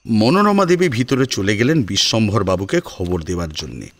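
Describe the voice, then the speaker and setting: A man narrating a scripted audio drama in an expressive storytelling style. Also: on the low side, brisk, slight background noise.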